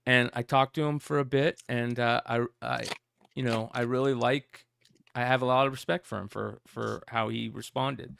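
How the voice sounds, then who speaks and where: A man's voice: mid-range voice, steady tone, normal speed, creaky, fairly bright tone, smooth, dry recording, clean studio sound. A man hosting a casual comic news talk show, rambling and reading out a message in his plain voice. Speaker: host.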